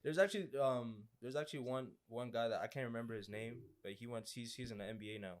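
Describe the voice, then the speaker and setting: A man's voice: mid-range voice, quick pace. A young man with a mid-range voice shares anecdotes among friends. His talk is loose and slangy, and he repeats phrases for emphasis. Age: young adult. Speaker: podcast participant. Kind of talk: casual group chat, personal anecdotes.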